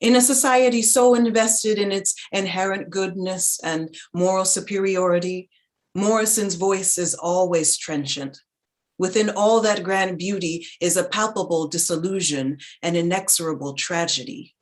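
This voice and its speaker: A woman giving a dramatic reading of a literary essay in a measured, reflective, articulate manner. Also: lowish voice. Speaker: reader in a staged reading.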